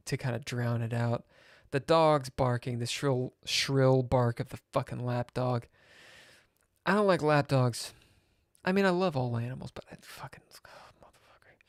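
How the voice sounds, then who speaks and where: A man tells a story slowly, with a deadpan, sardonic edge. He swears freely and swings from loud outbursts to muttered whispers. The sound is dry and close, with no room echo. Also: mid-range voice. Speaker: chess streamer. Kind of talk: rambling storytelling on a live stream.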